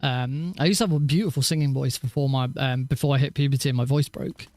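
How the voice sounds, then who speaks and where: A man with a naturally high voice, rambling casually and self-deprecatingly as he hosts a gaming livestream. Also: fairly even intonation, quick pace, darkish tone, dry recording. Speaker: livestreaming speedrunner and host. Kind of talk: casual livestream chat over gameplay.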